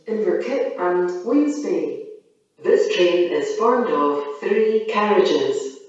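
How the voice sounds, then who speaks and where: A woman's voice rings out over a station loudspeaker with heavy echo and long reverb, sounding far from the microphone. She reads formal automated train announcements slowly, in measured, formulaic phrases. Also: medium pitch, muffled recording. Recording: echoey station PA, long reverb, distant mic.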